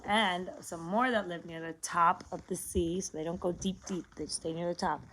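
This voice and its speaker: A woman's voice: fairly low pitch, steady tone, normal speed, fairly bright tone, low-quality recording. A woman reads aloud to young children in a teaching style. She breaks things down simply, checks in with questions and repeats key words. The recording has a light layer of noise and small clicks. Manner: simple explanations with questions and repetition, addressing children. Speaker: teacher and parent reading a picture book aloud.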